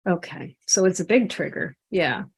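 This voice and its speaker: Gentle woman guiding self-inquiry. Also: on the low side, expressive, moderate pace, gravelly, breathy edge. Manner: gentle, encouraging, drawing while talking. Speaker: satsang facilitator and coach. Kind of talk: guided self-inquiry session over video call.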